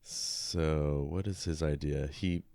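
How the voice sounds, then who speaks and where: A man with a low voice talks slowly in a casual book discussion. He is a podcast co-host who muses over his notes and poses questions, and the sound is very dry and close. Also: fairly even intonation, warm tone, no echo.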